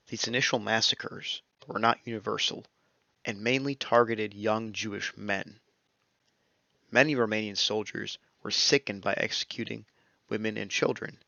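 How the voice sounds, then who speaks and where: A young man reads scripted history narration at a steady, measured pace. His low voice has a gravelly, bright edge. The recording is dry and a little dull, like a lo-fi voice-over mic. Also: no echo. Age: young adult. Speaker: student narrator.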